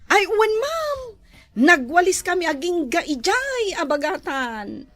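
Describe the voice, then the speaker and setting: A woman's voice: very high-pitched, big pitch swings, slow, a bit bright, no echo, exaggerated voice. A woman playing an eager, deferential village street sweeper with an Ilocano accent in a comedy drama. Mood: grateful, deferential.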